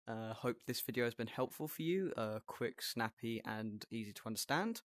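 Male tutorial host, medium pitch, casual step-by-step delivery, very little room sound. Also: expressive, normal speed, a bit bright, no room sound, clean studio sound.

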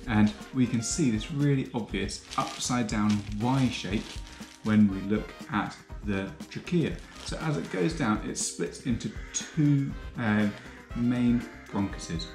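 A young man explaining biology in a patient, clear teaching manner, recorded in a home kitchen on a camera across the table. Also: on the low side, darkish tone, roomy sound. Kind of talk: educational explainer.

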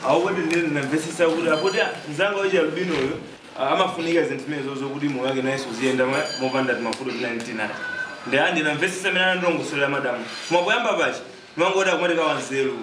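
Man, rapid-fire speaker at a school gathering, slightly high pitch, roomy sound. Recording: roomy, noisy, distant location sound.

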